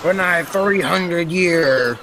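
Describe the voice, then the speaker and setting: An actor playing a stubborn, superstitious old sailor who speaks in emphatic salty dialect, in old-time radio style. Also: male voice, high-pitched, slow, sharp tone, slight background noise, heavily acted, low-quality recording. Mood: insistent.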